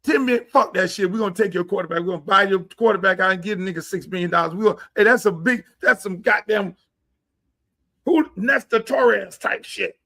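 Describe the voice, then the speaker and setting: A man hosting a livestream show. He rants in a blunt, profane, slang-heavy way and keeps slipping into quick impressions of other people. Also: high-pitched, vocal fry, bright, forceful tone. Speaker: show host. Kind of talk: casual livestream rant. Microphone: streaming setup.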